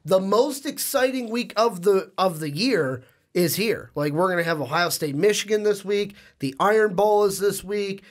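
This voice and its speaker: A man's voice: high voice, normal speed. A young man hosting a sports podcast, talkative and upbeat, sounding nasal and stuffy from a cold, speaking into a studio microphone. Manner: upbeat, talkative, reads plugs. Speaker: podcast host.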